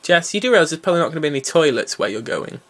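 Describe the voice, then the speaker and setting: A man's voice: a little high, big pitch swings, very fast. A young man hosts a casual podcast chat, teasing his co-hosts and jumping between topics. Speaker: podcast host. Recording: faint hiss and clicks.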